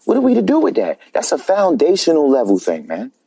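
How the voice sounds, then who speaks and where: A man's voice: a little high, wildly swooping pitch, brisk, creaky, dark tone, slightly rough. A man calling in, emphatic and preachy, who says "man" often. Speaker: caller. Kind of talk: casual opinion rant.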